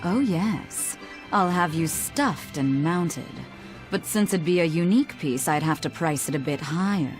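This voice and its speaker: A savvy game sorceress with a lowish, unhurried drawl. She is dry, sly and teasing, speaking in a languid, mock-sweet way over music. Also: lively intonation. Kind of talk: video game voice acting. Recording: game audio with music behind.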